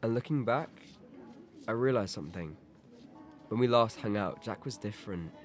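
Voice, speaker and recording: A man's voice: fairly low pitch, animated, moderate pace, lightly acted. A man playing a sincere, reflective teenage boy in an audio drama. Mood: sad, reflective. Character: Peter, wistful teenage boy attached to his best friend.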